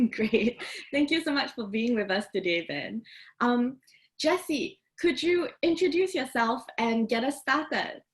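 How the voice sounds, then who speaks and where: A woman's voice: fairly high pitch, expressive, slow. A woman hosting and moderating a casual interview, introducing guests and asking questions. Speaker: host, moderator.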